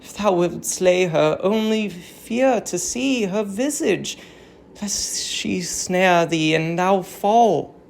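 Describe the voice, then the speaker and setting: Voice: medium pitch, slow pace, creaky, darkish tone, slight background noise. A performer voicing a grave, grieving old Trojan queen, declaiming solemn archaic verse in a dramatic tragedy reading. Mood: urgent warning.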